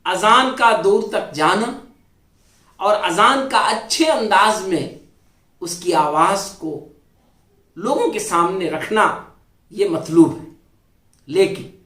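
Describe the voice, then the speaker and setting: A man lectures on religion from some distance off the microphone in an echoey room. His delivery is deliberate and explanatory, with an orator's pauses and repeated phrases. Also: high-pitched, a bit bright. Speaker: Islamic scholar giving a religious talk. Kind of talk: religious lecture in formal Urdu. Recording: roomy echo, distant microphone.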